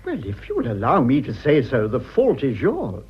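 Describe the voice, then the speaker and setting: Petulant posh British husband, fussy and patronising, very animated pitch, period radio drama, slightly muffled sound. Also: male voice, moderate pace, husky, heavily acted. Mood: hurt, pleading. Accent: British upper-class RP. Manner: fussy, spluttering, condescending. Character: prim, petulant upper-class husband, politician with taste for decoration.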